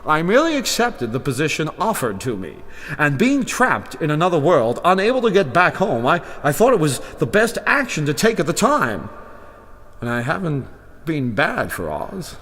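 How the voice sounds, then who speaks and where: A man's voice: on the high side, huge pitch swings, normal speed, a bit bright, heavily acted. An older man playing a timid humbug wizard and former circus balloonist, stammering and pleading in a fantasy audio drama. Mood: self-justifying. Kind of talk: full-cast fantasy audio drama acting. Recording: long reverb, music behind.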